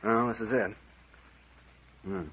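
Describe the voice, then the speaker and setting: An actor playing a brisk, commanding, hard-boiled captain and investigator with a wry edge in an old-time radio mystery. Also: male voice, fairly low pitch, fairly even intonation, a bit bright, breathy edge, exaggerated voice. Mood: brisk, suspicious. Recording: muffled old radio drama recording.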